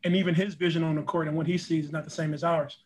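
An earnest young man answers post-game questions over a video call from a room in front of a press backdrop. His voice sits a little high and stays flat, and he leans on "you know" and repeated words. A faint hiss sits under the call. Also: quick pace. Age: young adult. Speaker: college basketball player.

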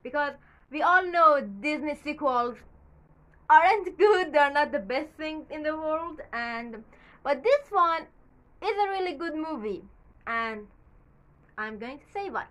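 Young woman, farsi is her first language, chatty and rambly, lots of ums, bit nervous, clear bright voice, kinda high, small bedroom. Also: lively intonation, measured pace, low-quality recording. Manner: rambling, chatty, with many fillers and restarts. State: a little nervous. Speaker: YouTuber. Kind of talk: casual movie commentary.

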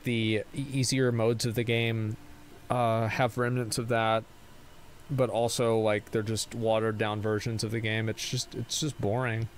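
A tired man in his thirties streaming, reading viewer questions and answering in a rambling monotone full of fillers and restarts. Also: medium pitch, slight background noise.

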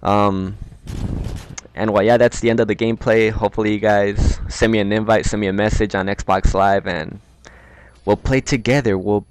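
A man's voice: fairly low pitch, fairly even intonation, moderate pace, clean tone. A man with a Mexican accent giving casual, rambling, slangy commentary over his gameplay.

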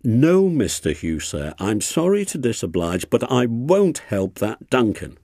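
A man's voice: mid-range voice, very animated, measured pace, mellow, slightly rough, no echo, studio quality, voice pushed up, full character voice. A man voicing an earnest, easily hurt diesel engine in a storybook, polite but stubborn.

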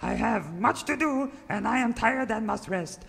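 A tiny, wise old-style creature mentor speaks in a very high, swooping, gravelly little voice. The delivery is solemn and cryptic with chuckles, like a parody radio serial, in a live hall. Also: male voice, expressive, unhurried, a bit bright, heavily acted. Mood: grand, tired. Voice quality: creaky, high-pitched. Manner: solemn, cryptic sage pronouncements. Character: two-foot-tall furry little mystic cowboy mentor creature, wise and chuckling. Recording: live hall reverb, audience noise.

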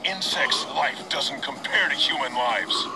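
An actor playing a defiant, dry-witted young action hero in a video game, confrontational and cocky. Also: male voice, medium pitch, measured pace, natural voice, rough recording. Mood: angry, defiant. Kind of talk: dramatic video game voice acting. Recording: loud music over game clips.

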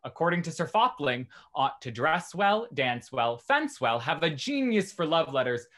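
A genial young gentleman from a Restoration comedy, played by a man. His voice is fairly high and swings freely in pitch. He is courteous and gossipy in formal period lines. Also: sing-song, moderate pace. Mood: amused, gossipy. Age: young adult. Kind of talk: stage acting in a Restoration comedy over video call. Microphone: video call.

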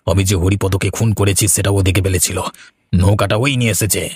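Man acting a menacing low-voiced village villain. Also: fairly even intonation, a little fast, husky, no room sound, clean studio sound. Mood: vengeful. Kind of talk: Bengali horror cartoon dialogue.

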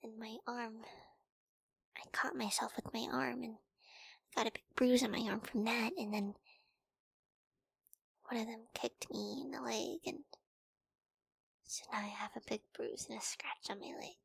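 A woman playing a timid, sweet, wary young wolf girl in an ASMR roleplay, speaking softly and hesitantly, often in whispers, close to the microphone. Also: fairly high pitch, fairly even intonation, unhurried, creaky, pauses often, slightly rough, no room sound, heavily acted. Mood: shy, subdued. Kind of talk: ASMR girlfriend audio roleplay.

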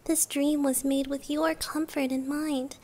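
A woman voicing a gentle, childlike dream-guardian deity in a hushed, reassuring ASMR roleplay, often close to a whisper. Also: high voice, little pitch movement, unhurried. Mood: soothing. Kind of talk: ASMR roleplay, soft soothing delivery.

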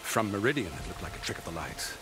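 An actor playing an earnest, troubled leader of a sun-worshipping realm in a video game, with formal, measured diction. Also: male voice, sits low, big pitch swings, background noise. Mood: concerned, explaining. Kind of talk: video game cutscene acting.

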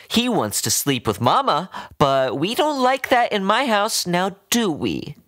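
A man voicing an unhinged, doting woman who fusses over her pet in a high, breathy, wavering voice. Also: wildly swooping pitch, unhurried, fairly bright tone, no room sound, clean studio sound, full character voice. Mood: cooing.

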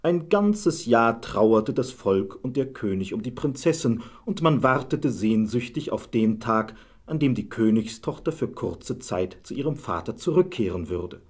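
A man narrating a scripted fairy tale as a measured storyteller. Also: medium pitch, animated, a little mellow, husky.

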